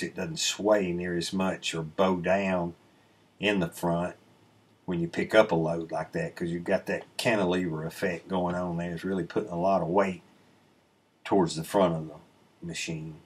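A man's voice: deep voice, steady tone, normal speed, breathy edge. A middle-aged man casually explaining his sawmill work over video footage, laid-back and rambling with plenty of ums and uhs, recorded at his desk on a webcam. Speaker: sawmill channel host. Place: indoor room, voice-over recorded away from the sawmill. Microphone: webcam inset, seated at a desk.

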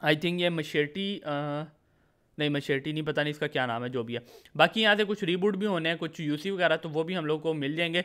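A man's voice: a little high, brisk, a bit bright. A man with a Pakistani accent doing a casual, informal game commentary voice-over, mixing in English words. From Lahore, Pakistan. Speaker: gaming video host.

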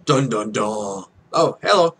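A man's voice: on the low side, animated, slow pace, fairly bright tone, husky. A young man hosting a let's play, reading game text aloud with casual, irreverent, sweary asides. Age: young adult.

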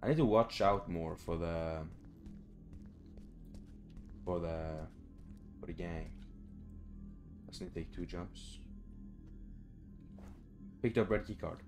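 A man's voice: sits low, slow, pauses often, over music. A young man doing casual horror game commentary, muttering to himself in short phrases. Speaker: gamer, let's play host. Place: small room at home.

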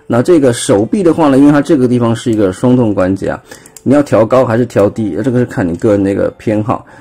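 A man's voice: medium pitch, warm tone, slightly rough. A man with a Taiwanese Mandarin accent, casually explaining a toy transformation step by step with many fillers, recorded on a phone at a table indoors. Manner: explanatory, filler-heavy, pointing out parts step by step. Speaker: toy reviewer. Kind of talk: casual hands-on toy demonstration.

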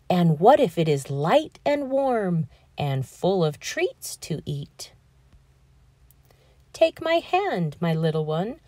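A tender mother penguin, voiced by a woman in a storybook read-aloud, soothing and reassuring. She speaks slowly, her voice rising and falling with feeling, in a close, dry recording. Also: mid-range voice, warm tone, no room sound. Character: gentle, loving mother penguin.

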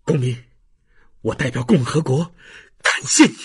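A man's voice: mid-range voice, very animated, measured pace, slightly rough, exaggerated voice. A man playing urgent, commanding revolutionary fighters in dramatised audiobook dialogue. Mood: solemn.

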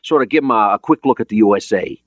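A man's voice: lowish voice, fast talker, dry recording, voice pushed up, close to an everyday voice. Man playing an eager, casual American sailor. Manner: casual, hesitant, wordy with superiors. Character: eager, informal American male navy sonarman.

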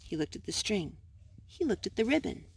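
Woman reading a storybook, lively intonation. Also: mid-range voice, normal speed, vocal fry, breathy edge. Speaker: storyteller reading a children's picture book. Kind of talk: read-aloud storytime narration.

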